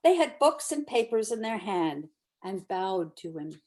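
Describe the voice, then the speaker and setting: A woman's voice: mid-range voice, very animated, a little slow, dark tone. A woman narrator reading a classic story aloud as scripted narration.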